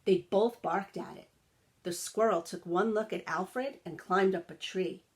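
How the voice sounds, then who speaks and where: A woman reading a picture book aloud like a teacher, expressive and sympathetic, adding simple explanations for children. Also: mid-range voice, unhurried.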